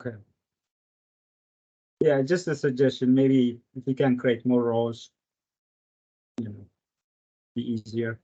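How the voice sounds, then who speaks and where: A man with a medium-pitched voice speaks up in an informal work meeting. His delivery is halting and tentative. The online call sounds dull and low in fidelity. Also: flat delivery, warm tone. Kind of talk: casual work meeting discussion.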